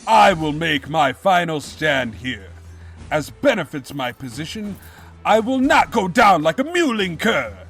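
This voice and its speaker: A man's voice: a little high, very animated, measured pace, a bit bright, slightly rough, faint music behind, exaggerated voice. An actor playing a pompous evil pony king, grandiose yet cowardly, in a bombastic comedic audio drama style. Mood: indignant, grandiose. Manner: bombastic, theatrical declarations.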